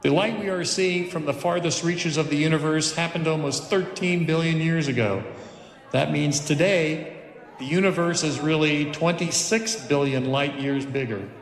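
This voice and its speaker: A man's voice: on the high side, normal speed, echoey room, big-room sound, close to an everyday voice. Man voicing a grand planetarium show narrator. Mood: awed, grand. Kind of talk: documentary-style scripted narration in a film drama.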